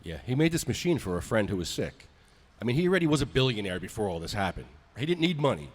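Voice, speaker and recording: A man's voice: lowish voice, big pitch swings, fast. A man co-hosting a podcast, chatting casually and reading out ads. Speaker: podcast co-host. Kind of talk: casual podcast chat and live ad read.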